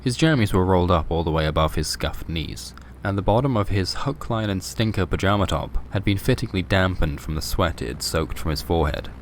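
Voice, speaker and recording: Male storyteller for surreal horror fiction, low voice, scripted narration over clearly audible background noise. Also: animated, brisk, hardly any pauses.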